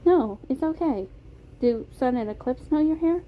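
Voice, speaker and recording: A woman's voice: sits high, expressive, moderate pace, voice pushed up. A woman voicing a chatty, curious, slightly wary young boy in a story reading. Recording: tinny phone-like audio, clear noise.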